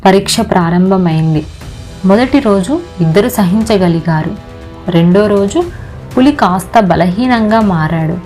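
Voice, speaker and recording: A woman's voice: medium pitch, dark tone. A woman narrator reading a scripted moral story for children. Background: music.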